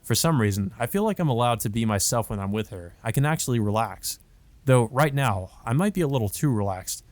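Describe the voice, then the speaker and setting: A man's voice: medium pitch, lively intonation, fairly quick, dry recording, close to an everyday voice. Man playing an earnest, introspective young student. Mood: sincere. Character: earnest, exasperated male student, young and devoted. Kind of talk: visual novel monologue read aloud.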